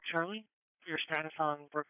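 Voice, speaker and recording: A man's voice: a little high, normal speed, clean tone, no room sound. A man working as an emergency dispatcher, giving radio dispatch calls over a two-way scanner channel. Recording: narrow, tinny scanner radio.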